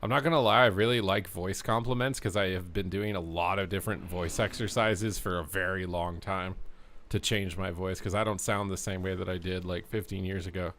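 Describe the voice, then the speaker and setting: A male game streamer with a low voice. He chats casually with his viewers, rambling and padding his sentences with fillers. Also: steady tone, a little fast, fairly bright tone, slight background noise. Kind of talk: casual live-stream chat.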